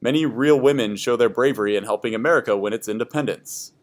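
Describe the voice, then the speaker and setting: A man's voice: mid-range voice, fast talker. A man reading scripted educational history narration aloud for a class.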